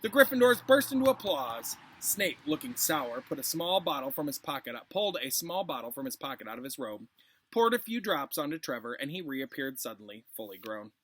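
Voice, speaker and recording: A man reads aloud like a teacher addressing his class. His delivery is expressive and informal, moving freely up and down in pitch. The voice sits a little high and is recorded close and dry. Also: brisk, husky, no echo, rough recording. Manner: informal asides. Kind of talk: expressive read-aloud for pupils.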